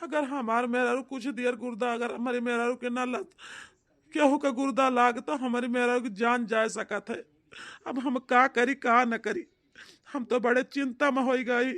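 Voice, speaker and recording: A man's voice: very high voice, fairly even intonation, a little slow, fairly bright tone, full character voice. A man playing an anxious, pleading village husband in an amateur cartoon, speaking with an Awadhi accent in a plaintive way. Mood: anxious, lamenting. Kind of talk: amateur comedy cartoon dialogue in rural dialect.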